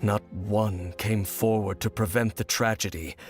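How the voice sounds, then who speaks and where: Male actor as a grave mysterious wanderer for a video game; low, solemn, measured, faint music bed. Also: steady tone, natural voice.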